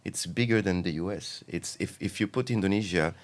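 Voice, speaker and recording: A man from Morocco with a notably deep, low voice speaks as a guest on an interview podcast. He explains his advisory work in a relaxed, thoughtful way. His talk is full of fillers and restarts as he works through each idea. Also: a little fast.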